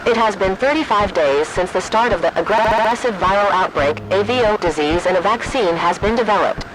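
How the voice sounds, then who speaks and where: A man's voice: high voice, fairly quick, lightly acted. A man voicing an impersonal emergency alert system, reading formal, stilted official warnings over noisy broadcast audio. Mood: official, grave. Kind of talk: scripted emergency broadcast announcement.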